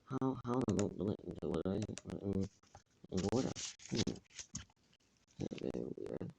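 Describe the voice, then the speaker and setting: Low, creaky man muttering haltingly. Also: big pitch swings, mellow, low-quality recording. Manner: halting, repetitive, hesitant. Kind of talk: casual muttering to self and viewers. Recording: faint background noise, clicking.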